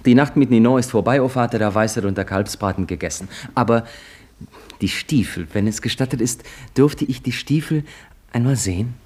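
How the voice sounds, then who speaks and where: A man's voice: medium pitch, a little fast, darkish tone, close to an everyday voice. A young actor playing an impatient young man pressing with questions, in a 1950s radio drama. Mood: eager insistent. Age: young adult. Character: eager impatient young man seeking a miracle.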